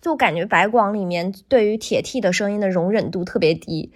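A woman, a guest voice actor, chatting casually on a podcast and thinking aloud in halting, looping phrases. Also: mid-range voice.

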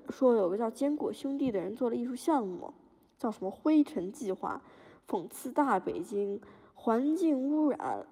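A woman's voice: a little high, mellow, slightly rough, big-room sound. A woman playing a proud, aggrieved older Beijing citizen who boasts and complains as a plaintiff in a satirical courtroom audio drama. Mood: indignant.

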